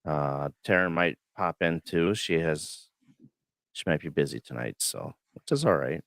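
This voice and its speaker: A man hosting a casual livestream chat, a bit hoarse and froggy from the flu, talking in a relaxed, rambling way with many fillers. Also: rumbling low, a little slow, dry recording, studio quality. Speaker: host. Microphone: studio microphone.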